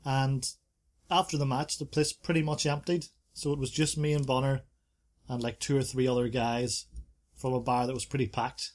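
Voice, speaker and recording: A man's voice: medium pitch, level tone, moderate pace. A man co-hosting a casual podcast, chatting about bars and reading reviews aloud with playful asides. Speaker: podcast co-host.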